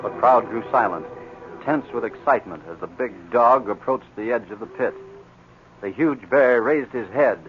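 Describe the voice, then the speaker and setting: A man's voice: mid-range voice, normal speed, soft background music. A man narrating and announcing a 1940s radio adventure in a dramatic scripted style. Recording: dull 1940s radio recording.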